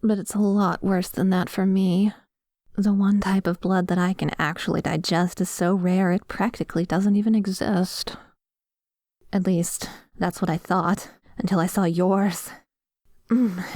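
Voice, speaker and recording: A woman playing a dominant, obsessive vampire in a scripted ASMR roleplay, savouring her words with breathy sighs and slips into whispers, commanding and condescending. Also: fairly low pitch, darkish tone, no room sound, clean studio sound. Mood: enraptured, hungry. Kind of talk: scripted ASMR roleplay audio, addressing the listener.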